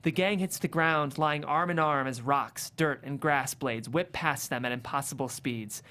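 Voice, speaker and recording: Higher-voiced, articulate male table-read host. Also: normal speed, pushed and bright. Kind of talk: stage-direction reading and interview hosting.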